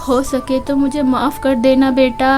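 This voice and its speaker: High-voiced remorseful servant mother, voiced by a woman. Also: little pitch movement, a little slow, music in the background. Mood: remorseful, pleading. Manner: earnest, apologetic, plain-spoken. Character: remorseful, gentle servant mother confessing to her son. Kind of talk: children's storybook dialogue.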